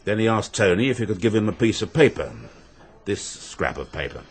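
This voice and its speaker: A police inspector in a radio mystery, speaking in a low, husky voice. He is measured and thoughtful, with lively intonation and dry, understated humour. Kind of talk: radio drama dialogue.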